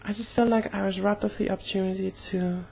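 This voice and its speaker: A young woman talking casually in a personal vlog, slightly hoarse, with an earnest, halting way of speaking. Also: mid-range voice, fairly even intonation, a little mellow. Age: young adult. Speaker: vlogger.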